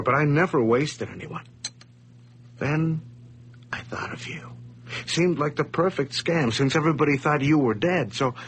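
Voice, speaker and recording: A jittery radio-play schemer caught at gunpoint, stammering and evasive in a middle-pitched voice. A faint hum runs under the old recording. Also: male voice, a bit bright. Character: nervous, scheming man confessing at gunpoint. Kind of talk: 1980s radio drama acting.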